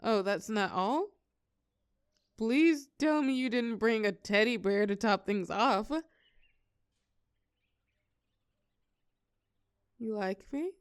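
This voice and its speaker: A woman's voice: fairly high pitch, measured pace, smooth, no echo, studio quality. A woman playing a shy, sweet, geeky young woman in an intimate romantic audio roleplay, speaking hesitantly and earnestly. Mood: stunned, hesitant.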